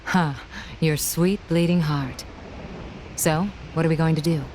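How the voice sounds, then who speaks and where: A woman's voice: low-pitched, fairly even intonation, measured pace, a little mellow, slight background noise. An actress playing a confident, sardonic woman warrior in a video game cutscene. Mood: wry.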